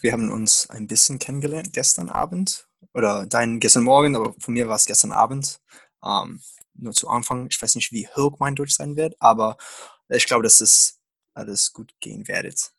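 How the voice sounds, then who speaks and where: A man works as an interviewer in a language that is not his first. His voice is husky with a lot of creak, and he phrases things haltingly and casually. The sound is close and dry. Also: medium pitch, fairly bright tone, no echo. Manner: halting, non-native German with grammar slips.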